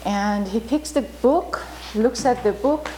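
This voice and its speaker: A woman from Iran whose first language is Turkish tells a story in an informal talk, halting with many fillers. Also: fairly high pitch, warm tone, slight background noise, poor audio. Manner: halting, many fillers, vivid retelling with acted quotes. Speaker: storyteller teaching about Rumi.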